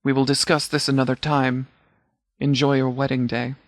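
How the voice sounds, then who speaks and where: A man voicing a composed video game law officer who speaks formally and precisely, with a dry, deadpan manner. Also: mid-range voice, moderate pace, dry recording, natural voice. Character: composed, formal male sheriff's department commander, strategic and dry. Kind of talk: video game dialogue voice lines.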